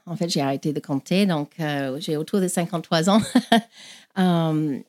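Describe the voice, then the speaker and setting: A woman's voice: low voice, a little slow, darkish tone. A woman in her fifties, born in Ireland and raised in Australia, speaking French as a second language with many hesitations as an interview guest. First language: English. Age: 50s. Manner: hesitant, restarts often, many fillers. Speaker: guest therapist. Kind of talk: casual interview.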